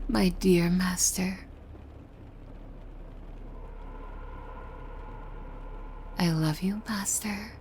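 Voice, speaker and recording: A woman acts out a yandere demon maid, utterly devoted and possessive of her master. She speaks at a very slow pace in a mid-pitched voice, formal and servile with a threat beneath it, often dropping into whispers. It is an ASMR roleplay with clearly audible background noise. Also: a little mellow. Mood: loving, possessive.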